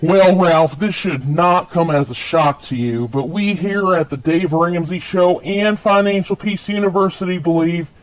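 A woman voicing a pompous male money-advice radio host in a parody call-in show, self-assured, preachy and deadpan. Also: on the low side, unhurried, hardly any pauses, natural voice, poor audio. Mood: confident, preachy.

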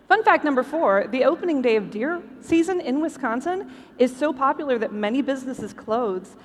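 Woman stage host, high voice, scripted comic presentation, recorded live in a big reverberant hall. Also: animated, moderate pace. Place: large convention hall stage, live show.

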